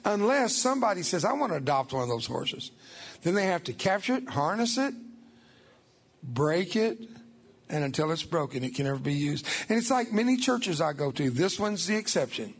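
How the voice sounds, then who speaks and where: A man's voice: fairly high pitch, very animated, normal speed, vocal fry. An older man whose first language was Spanish, giving a folksy, emphatic church testimony as a guest speaker.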